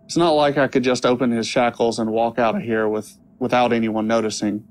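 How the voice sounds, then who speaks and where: An actor playing a wry young stage magician in an audio drama, talking in a sarcastic, conversational way. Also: male voice, mid-range voice, steady tone, fairly quick, lightly acted. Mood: uneasy, dismissive.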